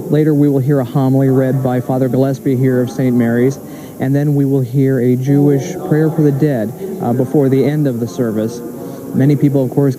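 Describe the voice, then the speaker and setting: A man's voice: mid-range voice, low-quality recording. A man reporting live for TV news from inside a packed church. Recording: busy murmuring crowd behind.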